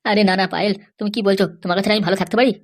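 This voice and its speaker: An adoring young cartoon lover, played by an actor, speaks very fast in a far lower voice than a squeaky bride. He is eager, gushing and placating. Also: steady tone, close to an everyday voice.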